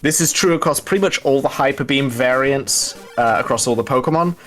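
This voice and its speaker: A man's voice: medium pitch, fairly quick, a bit bright. A man commentating a live gaming race, explaining things hesitantly with dry, understated humour.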